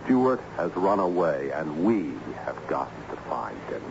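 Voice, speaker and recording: An actor playing an earnest, learned schoolteacher who speaks insistently and didactically in a radio drama. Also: male voice, extremely low pitch, sing-song, measured pace, vocal fry, full character voice. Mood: troubled. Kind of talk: old-time radio drama acting. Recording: muffled, noisy radio drama recording.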